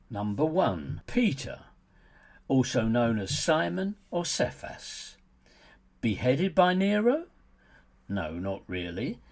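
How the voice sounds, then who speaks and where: A husky-voiced man reads a polemical audiobook at an unhurried pace. His delivery is deliberate and theatrical, with a pitch that swings widely as he leans on words with dry mockery. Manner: sardonic, mocking emphasis. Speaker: author reading own book as narrator. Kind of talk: scripted polemical narration, audiobook.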